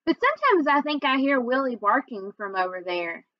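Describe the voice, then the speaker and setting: A woman's voice: high-pitched, very animated, normal speed, dry recording, lo-fi sound. A woman voicing a worried, sad, folksy Southern woman who is wistful, in a storybook read aloud. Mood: hopeful, wistful. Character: worried, sad Southern woman missing her lost dog.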